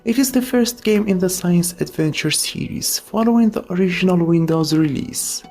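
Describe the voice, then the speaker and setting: A man's voice: high-pitched, normal speed, darkish tone. A man narrating a scripted video essay, giving opinionated reviews of games.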